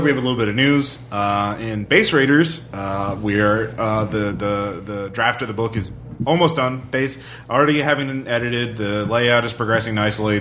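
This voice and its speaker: Halting male podcast host, noisy recording. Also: fairly low pitch, fairly bright tone. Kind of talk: casual podcast chat and announcements. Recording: clear background noise.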